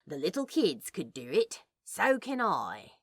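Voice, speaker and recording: A woman's voice: fairly low pitch, wildly swooping pitch, unhurried, fairly bright tone, slightly rough, no room sound, full character voice. A woman voicing a skeptical, awkward cool man in a fan fiction audio drama, wry, casual and a little hesitant. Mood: reluctant.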